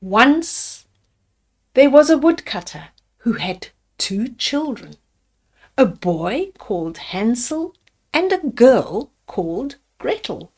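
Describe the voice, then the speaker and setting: An older woman reading a bedtime fairy tale aloud to young children, deliberately, with explanatory asides about the pictures. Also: fairly high pitch, sing-song, darkish tone.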